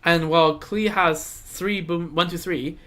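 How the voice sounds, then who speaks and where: A game streamer giving casual live commentary, halting and repetitive, with offhand asides in gamer slang. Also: mid-range voice, steady tone, pushed and bright. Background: game music.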